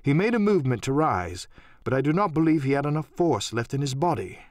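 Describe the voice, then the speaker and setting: A man narrating an audiobook as a dramatic storyteller, theatrical and expressive in his delivery. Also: on the high side, a little fast, no room sound, clean studio sound.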